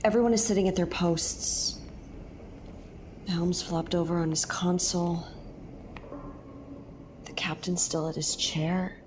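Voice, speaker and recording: A woman's voice: on the low side, steady tone, a little slow, pauses often, background noise, lightly acted. A woman playing a nervous, sometimes sarcastic young space explorer in an audio drama, hesitant and uneasy in her delivery. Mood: awed, uneasy.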